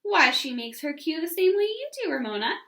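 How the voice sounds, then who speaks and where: A woman voicing a kind, gently reassuring mother in a children's storybook read-aloud. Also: high-pitched, sing-song, brisk, pitched above the performer's own voice, heavily acted. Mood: surprised. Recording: echoey room.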